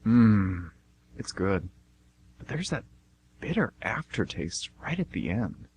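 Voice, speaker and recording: A man's voice: fairly low pitch, big pitch swings, slow, a little mellow, slightly rough, full character voice. A man playing an easygoing, chatty, naive American tourist in an amateur radio play, talking informally. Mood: content. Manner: informal, breezy.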